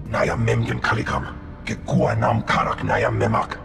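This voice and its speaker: A man voicing an alien resistance fighter in a video game, with a gravelly voice. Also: on the low side, little pitch movement, moderate pace, loud music behind the voice. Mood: matter-of-fact.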